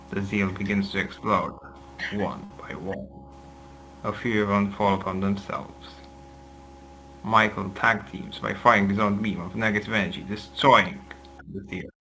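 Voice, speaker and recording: A man narrates a fantasy story in a low voice with an extremely sharp, forceful tone. There is some faint noise and hum behind him. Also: rough recording. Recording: clear background noise and hum.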